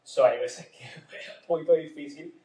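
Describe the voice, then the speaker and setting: A man's voice: fairly high pitch, normal speed, dark tone. A man with a Puerto Rican Spanish accent mixed with some Nicaraguan, chatting casually as a therapist co-hosting a podcast. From Puerto Rico. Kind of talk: casual conversational podcast talk. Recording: roomy, echoey sound.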